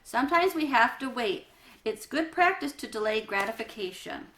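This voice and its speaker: A woman voicing a calm, patient male schoolteacher in a storybook, kindly but firm and measured. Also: on the high side. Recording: roomy, echoey room sound.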